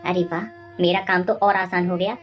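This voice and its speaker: A woman voicing a sly, wheedling maidservant with a dramatic manner in an animated moral story. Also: fairly low pitch, moderate pace, faint music behind, lightly acted. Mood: sly, gleeful. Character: sly, pleading maidservant feigning hunger.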